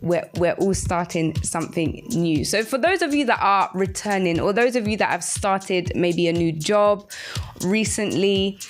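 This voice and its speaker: A woman's voice: medium pitch, unhurried, faint music behind. A woman hosts a live chat show, addressing her listeners directly. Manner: addresses listeners directly, greeting them by name. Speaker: radio show host.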